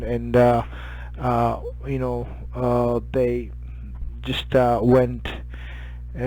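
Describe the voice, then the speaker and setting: A middle-aged man with a Japanese accent chatting as an amateur radio operator, halting and often searching for words. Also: medium pitch, warm tone, poor audio. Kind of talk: casual radio contact chat.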